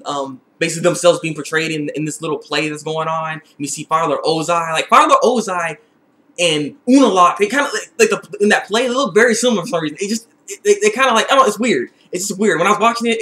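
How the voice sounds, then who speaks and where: Young dude recapping a show, high bright voice, worked up, repeats himself a lot. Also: male voice. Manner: excitable, animated, repeats phrases for emphasis. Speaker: TV episode reviewer. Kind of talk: casual video recap and review.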